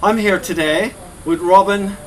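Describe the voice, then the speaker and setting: A man's voice: sits high, measured pace. A man hosting an interview, eager and encouraging, often chiming in with agreement. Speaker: host. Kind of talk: casual interview. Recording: noisy, from a distance.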